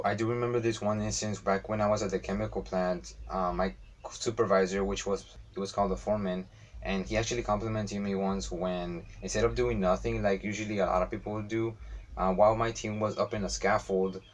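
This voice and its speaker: Young man, Spanish-accented English, lowish voice, casual vlog storytelling, chatty with fillers. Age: young adult. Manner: relaxed, chatty, frequent fillers. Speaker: vlogger.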